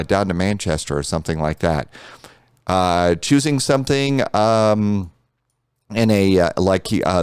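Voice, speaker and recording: Deep-voiced American man giving a casual tutorial. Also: a little mellow, clear, resonant tone, no echo, studio quality. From United States. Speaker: flight simulator tutorial host.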